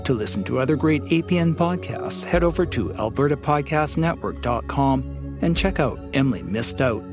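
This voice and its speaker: A man's voice: medium pitch, expressive, moderate pace. A man reading scripted podcast promotions and sponsor messages as an announcer. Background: music.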